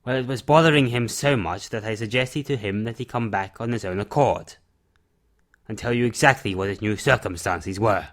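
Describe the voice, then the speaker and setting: A man playing a principled, protective recluse in an audio drama, with a measured, formal way of talking. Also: lowish voice, a bit bright, close to an everyday voice. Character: principled, protective male recluse who shelters a runaway.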